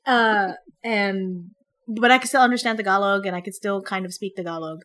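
A woman's voice: medium pitch, normal speed. A young woman born in the Philippines with an American accent, chatting animatedly and bluntly as a podcast co-host. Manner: animated and blunt, swears casually. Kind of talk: casual chat about a TV show.

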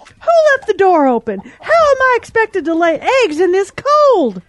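Cartoonish audio-drama hen: grumpy, melodramatic, piercingly high, dry recording. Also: female voice, huge pitch swings, moderate pace, no echo, exaggerated voice. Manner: squawking, melodramatic. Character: grumpy, excitable, easily outraged female hen. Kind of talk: comic audio drama acting.

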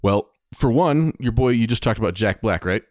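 Deep-voiced man chatting on a podcast. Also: expressive, moderate pace, a little mellow, dry recording, clean studio sound. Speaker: podcast co-host. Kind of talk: casual conversation about movies and games.

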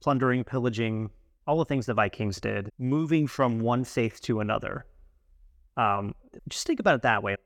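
American guy co-hosting a podcast, fry in his voice, chatty and rambly, dry close sound. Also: medium pitch, normal speed, a bit bright, dry recording. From United States. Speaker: podcast co-host. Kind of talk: casual conversational podcast talk.

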